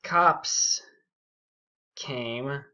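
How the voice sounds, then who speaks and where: A young man telling a story casually and unscripted, rambling and halting, with frequent asides. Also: medium pitch, pushed and bright. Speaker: YouTube storyteller. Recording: dull, muffled lo-fi audio.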